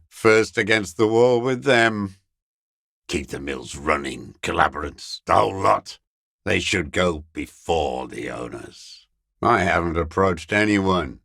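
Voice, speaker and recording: Game role: aged revolutionary holdout, deep-voiced, very slow delivery, scornful, weary and unrepentant. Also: male voice, vocal fry, fairly bright tone, no echo, studio quality, exaggerated voice. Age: older. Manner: contemptuous, ideological, slow and weary. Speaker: video game character actor. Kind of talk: dramatic game voice acting, bitter monologue.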